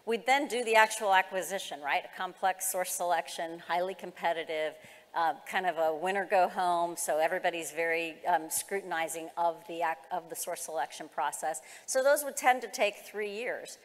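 A woman's voice: on the low side, moderate pace. American woman presenting in a reverberant hall. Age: middle-aged. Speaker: keynote speaker, government executive. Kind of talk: conference presentation, explanatory, semi-formal. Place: large conference hall, on stage.

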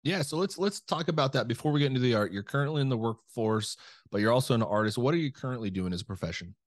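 A man's voice: medium pitch, lively intonation, fast talker. A middle-aged man hosting a podcast interview over a video call, upbeat and friendly, asking loose, rambling questions. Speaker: podcast host. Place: home room. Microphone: studio mic with headset on video call.

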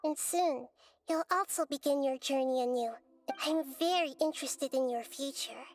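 High-voiced, serene young goddess. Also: female voice, normal speed, faint music behind. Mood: reflective. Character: gentle, wise, childlike young goddess, thoughtful and serene. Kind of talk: video game voice acting.

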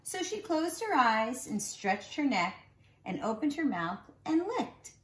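Woman in her forties, very lively intonation, bedtime story reading, drawn-out emphasis, roomy home recording. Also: a little high. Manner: animated storytelling for young children, drawn-out emphasized words. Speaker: nursery school teacher reading bedtime stories. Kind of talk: expressive read-aloud of a children's picture book. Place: small living room at home, indoors.

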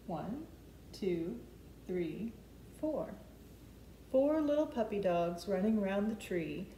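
A woman's voice: medium pitch, lively intonation, slow pace, dark tone. A middle-aged woman hosting a preschool storytime, giving simple step-by-step prompts to small children. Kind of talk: children's storytime instruction, rhymes and counting. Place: indoors, open living room and kitchen at home. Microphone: camera mic a few feet away. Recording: echoey room with faint background noise.

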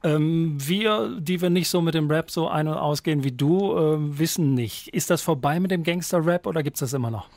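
A man's voice: fairly high pitch, moderate pace, hardly any pauses, a little mellow, no room sound. A man hosting a radio show, steering the talk with questions and announcer-style teasers. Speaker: radio host.

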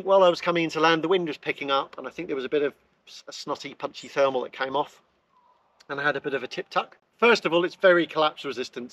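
Middle-aged male reviewer, slightly high voice, chatty and enthusiastic, recorded outdoors in a garden. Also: fairly bright tone, highs cut off. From England. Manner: chatty, enthusiastic, frequent restarts. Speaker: gear reviewer. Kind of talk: casual product review.

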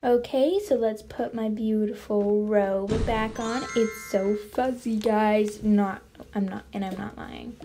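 A woman improvising a role-play story as a mother character, chatty and play-acting, sometimes hushed. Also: mid-range voice, fairly even intonation, slow pace, background noise. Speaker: role-play video narrator. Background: background music.

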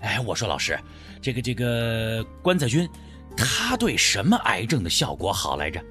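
A man's voice: on the low side, very animated, moderate pace, bright, forceful tone, soft background music, voice pushed down. A man voicing an eager medical student in an audiobook, insistent and exclamatory, pleading persistently. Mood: puzzled, insistent.

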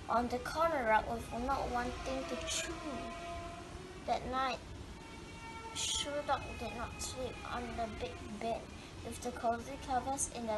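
High-voiced woman reading a storybook slowly. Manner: drawn-out words with dramatic emphasis. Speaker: storybook reader. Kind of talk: expressive read-aloud of a children's picture book. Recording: clearly noisy home recording.

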